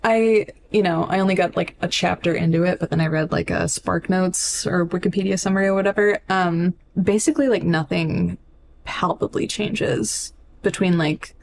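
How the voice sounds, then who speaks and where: A woman's voice: on the low side, moderate pace, gravelly, darkish tone, a little hiss or hum. A woman co-hosting a podcast, chatting casually and informally about books, with swearing and lots of "like". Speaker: podcast co-host.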